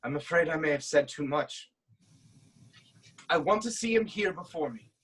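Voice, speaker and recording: Someone acting a haunted greek king, husky and grave, slow weighty dramatic lines. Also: mid-range voice, lively intonation, fairly bright tone, low-quality recording. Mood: uneasy, urgent. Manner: grave, deliberate, declamatory. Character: anguished proud king of Thebes, haunted by prophecy. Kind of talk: dramatic reading of Greek tragedy.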